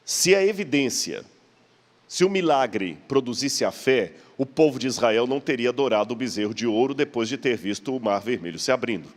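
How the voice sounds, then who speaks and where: A man's voice: mid-range voice, lively intonation, fairly quick. Brazilian male preacher in a reverberant hall. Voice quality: slight stammer. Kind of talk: sermon with rhetorical questions. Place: large church hall.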